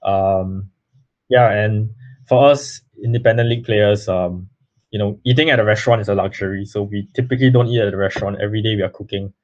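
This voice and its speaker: Young man, earnest, halting, many fillers, lowish pitch, dull lo-fi audio. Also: fairly even intonation, a little mellow. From Singapore. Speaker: guest, baseball player. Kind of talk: casual interview answers. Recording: slightly muffled lo-fi audio.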